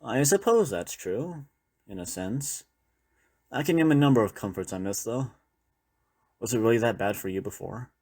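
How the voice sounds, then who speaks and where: A man's voice: medium pitch, lively intonation, normal speed, pauses often, a little mellow, husky. A man playing a weary former doctor surviving a zombie apocalypse, talking in a reflective, conversational way to a trusted partner in an intimate audio roleplay. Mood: wistful, reflective. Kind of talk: intimate audio roleplay, one-sided dialogue.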